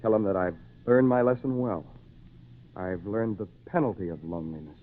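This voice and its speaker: A man's voice: lowish voice, normal speed, slight background noise, close to an everyday voice. A man playing a kindly, lonely English castaway in an old-time radio drama, with patient, theatrical phrasing. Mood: wistful, kindly. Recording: tinny narrow-band old radio recording.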